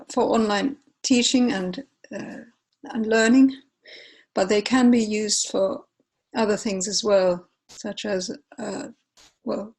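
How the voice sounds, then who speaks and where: A woman in her forties, a native Finnish speaker with a Finnish accent, walks through a software tutorial while sharing her screen, often pausing with fillers and correcting herself. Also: mid-range voice, vocal fry, breathy edge, poor audio. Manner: unhurried, hesitant, many fillers and self-corrections. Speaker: tutorial presenter.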